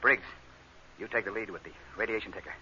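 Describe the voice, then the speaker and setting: A tough male spaceship captain, barks out orders with an impatient, no-nonsense air. His voice is sharp and forceful at a medium pitch, caught on a muffled vintage radio recording with light noise. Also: quick pace. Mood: weary, determined. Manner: brusque, commanding. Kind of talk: old-time radio drama acting.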